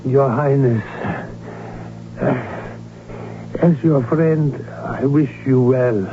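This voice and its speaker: Sober royal physician, tinny sound. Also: male voice, medium pitch, noisy background. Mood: concerned. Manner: measured, formal. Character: sober, concerned male royal physician telling the tale. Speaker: narrator and physician character. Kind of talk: 1970s radio drama narration and acting. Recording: tinny old radio recording.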